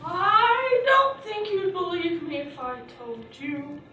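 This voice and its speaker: Squeaky little boy puppet, earnest n stubborn, very echoey. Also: slow pace, bright, forceful tone, exaggerated voice. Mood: excited. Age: child character. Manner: earnest, indignant, exclaiming. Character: trusting, stubborn young puppet boy, Johnny. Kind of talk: puppet show acting. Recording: echoey room, distant mic, music behind.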